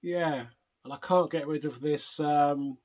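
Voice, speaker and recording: An older man hosting a retro gaming vlog, chatting casually and rambling, hoarse from a chest infection. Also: on the high side, fairly even intonation, a little slow, a little mellow. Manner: rambling, self-interrupting, chatty. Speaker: retro gaming channel host.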